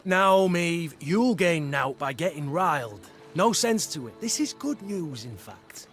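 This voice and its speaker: An actor playing a cocky rogue companion in a video game, talking in a wry, casual, persuasive manner. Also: male voice, on the high side, expressive, a little slow, a bit bright. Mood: persuasive, sly. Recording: music behind the voice.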